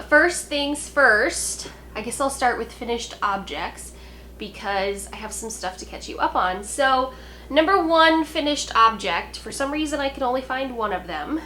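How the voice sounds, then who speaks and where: A woman's voice: a little high, sharp tone, slight background noise. A young woman hosting a knitting podcast, talking casually to camera with a congested, nasal voice from a sinus cold, chatty and apologetic with lots of ums.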